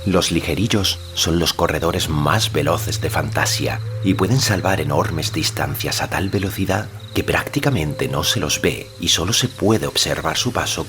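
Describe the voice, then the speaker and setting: A man narrating a fantasy novel as an audiobook, in a measured, solemn storytelling manner. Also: a bass voice, background noise. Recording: music behind the voice. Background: background music.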